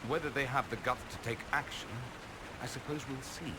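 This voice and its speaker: An actor voices a sardonic aristocratic mage from a fantasy game. He is arch and theatrical in a medium-pitched voice, full of dry irony. There is heavy noise in the background. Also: brisk, lightly acted. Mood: arch, amused. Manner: arch, theatrical, dryly ironic. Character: suave, witty, sardonic aristocratic male mage. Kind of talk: video game character dialogue. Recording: loud background noise and ambience.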